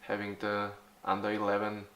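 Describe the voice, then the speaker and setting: A man in his forties from Austria, answering interview questions haltingly, with many fillers and restarts. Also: fairly low pitch, monotone, a bit bright, reverberant. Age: 40s. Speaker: youth football club organizer.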